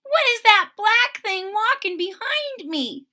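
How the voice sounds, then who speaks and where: A woman's voice: very high-pitched, big pitch swings, slow pace, pushed and bright, pitched above the performer's own voice, heavily acted. A woman voicing a frightened little girl kitten for a children's storybook, timid, plaintive and pleading. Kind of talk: children's storybook read-aloud.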